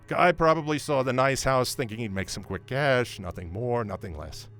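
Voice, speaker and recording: An actor playing a brusque, scornful police sergeant who lectures with dismissive authority in an audio drama. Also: male voice, mid-range voice, moderate pace, a bit bright, natural voice. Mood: matter-of-fact, dismissive. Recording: music behind the voice.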